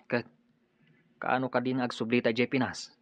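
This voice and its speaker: A man's voice: mid-range voice, level tone, normal speed, no echo, close to an everyday voice. An Ilocano-accented man playing a friendly, joking buddy in a radio drama. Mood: chummy. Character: friendly, joking male buddy and business colleague.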